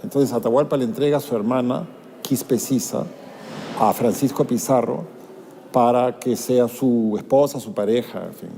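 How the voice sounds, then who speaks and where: Mellow-voiced man explaining history. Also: medium pitch, vocal fry, slight background noise. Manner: measured, explanatory, with hesitations. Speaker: author, interview guest. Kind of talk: storytelling in an interview. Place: museum.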